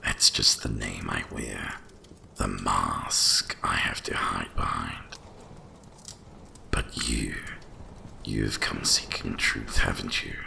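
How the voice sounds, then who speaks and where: Ancient dragon, extremely deep gravelly growl. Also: male voice, fairly even intonation, full character voice. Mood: conspiratorial, knowing. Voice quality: very deep gravelly, breathy rumble. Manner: slow, deliberate, hushed, mysterious storyteller. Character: ancient cursed male winter dragon, wise, once proud, brooding. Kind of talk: ASMR fantasy roleplay monologue.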